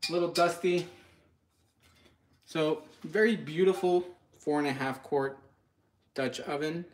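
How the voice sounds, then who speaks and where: A man's voice: on the high side, a little slow. Man in casual, hesitant unboxing chat. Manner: hesitant, informal, addresses viewers directly. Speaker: product reviewer, channel host. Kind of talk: casual live unboxing commentary. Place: home kitchen, indoors.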